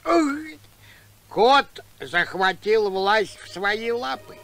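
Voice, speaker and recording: A woman's voice: medium pitch, animated, very slow, a bit bright, heavily acted. A woman playing a frightened, complaining cartoon scarecrow, drawing the words out in a theatrical lament. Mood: fearful, lamenting. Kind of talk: cartoon voice acting.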